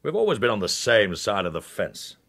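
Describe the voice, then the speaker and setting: Radio crime drama: British secret service interrogator, deepish, very varied delivery, sardonic, quietly threatening. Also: male voice, huge pitch swings, fairly quick, gravelly, exaggerated voice. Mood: menacing. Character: menacing, smooth male secret service interrogator, sardonic and persistent.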